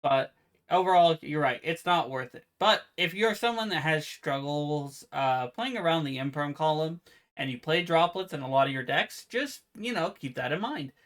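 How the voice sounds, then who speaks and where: A man co-hosting a casual podcast, with a naturally quiet voice, explaining card game rules in a relaxed, meandering way. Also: a little high, normal speed. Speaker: podcast co-host. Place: home room with fan noise removed by software.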